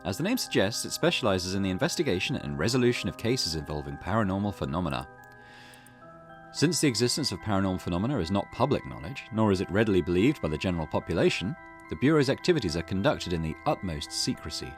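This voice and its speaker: A man's voice: deep voice, very animated, quick pace. A man hosting a gaming video, reading game text aloud with casual commentary. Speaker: gaming channel host. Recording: music behind the voice. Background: game music.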